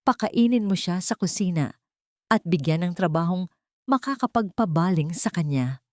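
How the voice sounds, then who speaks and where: A woman's voice: on the low side, animated, normal speed, a little mellow, no echo, studio quality, close to an everyday voice. A woman voicing a bitter, aloof, wealthy man who gives curt orders as master of the house in an audiobook. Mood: cold, dismissive. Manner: curt, commanding.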